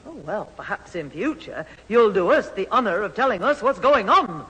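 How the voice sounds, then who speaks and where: A woman playing an exasperated, sharp-tongued mother in a radio sitcom. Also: medium pitch, big pitch swings, normal speed. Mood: scolding. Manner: scolding, put-upon. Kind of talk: 1970s radio sitcom acting. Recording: reverberant old radio recording.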